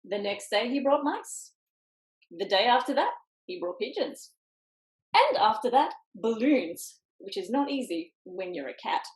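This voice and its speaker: A woman reading a picture book aloud to children over a video call, expressively, with dramatic pauses and little asides. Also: a little high, big pitch swings. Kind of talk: animated children's storytime reading with quiet asides. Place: indoors, online video call in an echoey room. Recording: roomy echo on video call.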